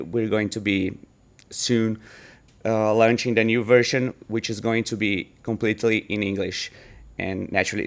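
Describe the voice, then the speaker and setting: A man's voice: on the low side, fairly bright tone. A man with a Brazilian Portuguese accent, a startup founder casually walking through a screen-share demo of his product, often restarting and filling in with "like" and "uh". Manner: halting, many restarts and fillers.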